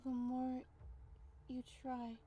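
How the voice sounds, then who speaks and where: A woman voicing a frightened young woman in a video game, talking anxiously and haltingly to soothe herself. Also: high voice, monotone, highs cut off, a little hiss or hum. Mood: anxious, hushed.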